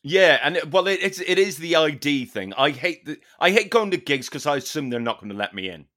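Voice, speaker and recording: A man with a bright, tense edge to his voice and a lively, swinging pitch. He teases and jokes dryly in casual podcast banter. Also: brisk. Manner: dry, teasing, playful. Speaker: podcast co-host. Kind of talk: casual comic chat.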